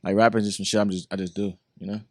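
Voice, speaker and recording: A man's voice: on the low side, little pitch movement, very fast, no echo, clean studio sound. A man guest, a creative director, talking casually in loose, rambling slang. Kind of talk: casual podcast chat.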